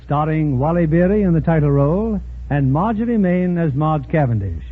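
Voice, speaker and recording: A man's voice: on the high side, lively intonation, normal speed. Muffled formal vintage radio host, man. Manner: theatrical, formal. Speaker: radio drama host and narrator. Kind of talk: formal 1940s radio narration. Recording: very muffled 1940s radio recording.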